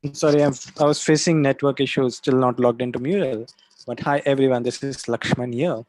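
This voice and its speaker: A man of middle pitch introduces himself over a video call, with a dry, close sound. He talks in a steady, explanatory way about his facilitation work. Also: a little fast, no echo, low-quality recording. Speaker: design thinking facilitator. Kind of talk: self-introduction in an online workshop.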